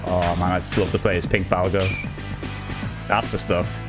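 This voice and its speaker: A man's voice: sits low, fairly even intonation, measured pace. A young Irish man with an Irish accent, casually chatting through a live gameplay stream in an upbeat, rambling way. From Ireland. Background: game music.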